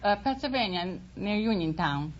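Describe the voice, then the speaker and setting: Animated woman with Eastern European accent. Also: medium pitch, highs cut off. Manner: halting, broken English. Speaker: quiz show contestant. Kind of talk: casual banter with host. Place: radio studio with audience. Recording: very noisy old radio, loud audience.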